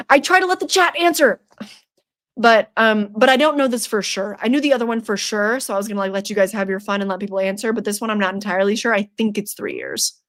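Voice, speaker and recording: A woman's voice: a little high, fairly bright tone. Chatty young woman streamer. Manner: chatty, playful, laughs often, teasing. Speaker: streamer hosting a horror trivia night. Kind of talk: casual live-stream chat reading the chat.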